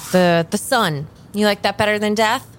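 An actress playing an irritable, blunt tarot reader in an improvised audio drama, halting and exasperated, with faint rumble behind her. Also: lightly acted. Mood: reluctant, wry. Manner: curt, exasperated, sighing, halting.

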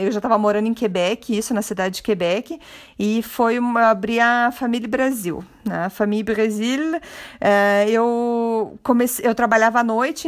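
Woman w/ curitiba accent, kinda high voice, chatty podcast host, laughs a lot and restarts her sentences. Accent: Curitiba Brazilian Portuguese. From Curitiba, Brazil. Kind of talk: casual personal monologue.

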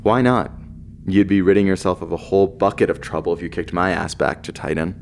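A man's voice: low voice, fairly even intonation, a little fast, gravelly, slight background noise. A man playing a wry, loyal runaway nobleman turned spaceship crewman, talking casually and teasingly in a science fiction audio drama. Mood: wry, confessional.

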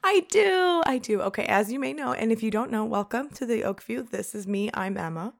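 A young woman with a low, gravelly voice co-hosts a casual podcast. She talks in a chatty, animated way and laughs often. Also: a little fast. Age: young adult. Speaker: podcast co-host. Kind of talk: casual chat.

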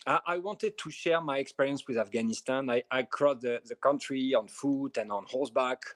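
A man with a French accent gives a measured interview answer, stopping now and then on soft fillers. His voice sits at an ordinary middle pitch. The sound is close and dry, with no room around it. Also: no echo. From France. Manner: measured, pausing with frequent uh fillers. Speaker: film director guest. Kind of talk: podcast interview.

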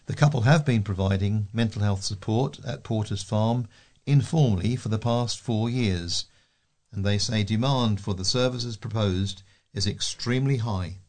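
A man's voice: on the low side, moderate pace, darkish tone. A man with a British accent reads local news articles aloud as a volunteer newsreader.

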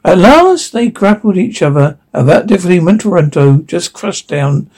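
A man reading a fairy tale aloud in a halting way, sometimes stumbling and correcting himself. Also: high-pitched, very animated, a little mellow, husky. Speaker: story reader.

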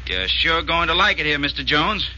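A man's voice: on the high side, sing-song, normal speed, pushed and bright, heavily acted. An actor playing a hot-headed young Southern workman, defensive and combative, in 1940s radio drama style. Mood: disgruntled. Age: young adult. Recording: muffled, hissy old-time radio.

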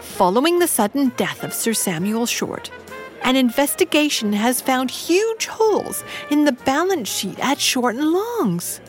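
A genteel, soft-hearted lady of audio drama speaks slowly and high. Her pitch swoops expressively, and her manner is proper, earnest and easily shocked. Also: very animated, faint music behind. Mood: concerned, reading aloud.

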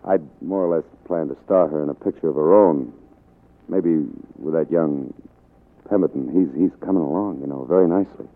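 Deep-voiced, kindly old-radio producer. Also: male voice. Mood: reassuring. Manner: halting, deliberate, softening blunt words. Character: frank but kindly male film producer friend. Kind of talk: 1940s radio drama acting. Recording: muffled 1940s radio broadcast recording.

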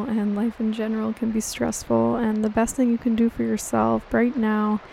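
A woman leads a guided meditation in a calm, gentle, reassuring and unhurried way, as soothing scripted narration. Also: a little high, gravelly, a little hiss or hum, poor audio. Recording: steady rain on water behind voice. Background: rain falling on water.

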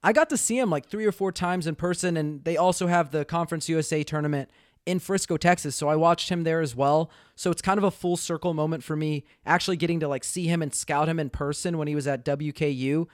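A young man co-hosting a sports podcast, talking through player stats in a quick, analytical way with lots of fillers. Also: fairly high pitch, dry recording, clean studio sound. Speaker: podcast co-host. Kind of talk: casual sports podcast chat.

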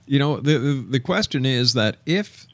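A man's voice: mid-range voice, animated, moderate pace, a bit bright, dry recording. A man hosting a radio talk show from a studio, interviewing and announcing. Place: broadcast studio.

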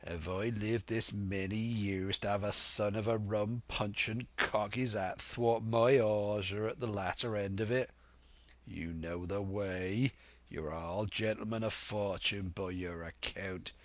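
A man's voice: lowish voice, fairly even intonation, measured pace, no echo, lightly acted. A man voicing a commanding, threatening old pirate sea cook in a drawling for an audiobook. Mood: scornful challenge. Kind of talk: dramatic audiobook character voice.

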